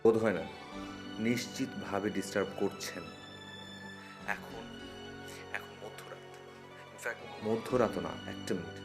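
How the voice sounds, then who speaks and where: An actor playing a mature, exacting man in a Bangla television drama, speaking in a measured, halting, pedantic way. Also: fairly low pitch, music in the background, natural voice. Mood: annoyed, dry. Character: mature, exacting, reluctantly charmed man woken at night.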